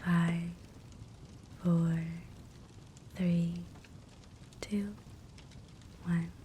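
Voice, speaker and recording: A woman guiding a sleep hypnosis meditation in a soft, breathy whisper, gentle and soothing. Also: fairly low pitch, extremely slow. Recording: soft steady fireplace crackle noise. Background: fireplace ambience.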